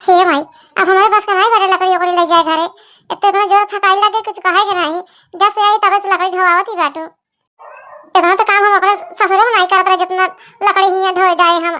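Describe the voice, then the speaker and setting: A woman's voice: extremely high pitch, steady tone, brisk, sharp tone. A woman voicing an indignant, stubborn young daughter-in-law in a cartoon, complaining insistently with an Awadhi accent. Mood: complaining, regretful. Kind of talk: rural cartoon comedy dialogue.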